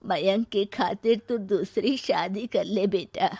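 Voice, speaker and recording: Cartoon mother-in-law, voiced by a woman: older, stern, practical, unhurried slow delivery, dry recording. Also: mid-range voice, darkish tone, slightly rough, dry recording. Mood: consoling.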